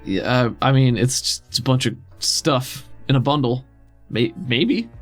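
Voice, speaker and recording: A man's voice: mid-range voice, unhurried. A man running a tabletop game as game master, narrating off the cuff and chatting casually with the players. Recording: music behind. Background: background music.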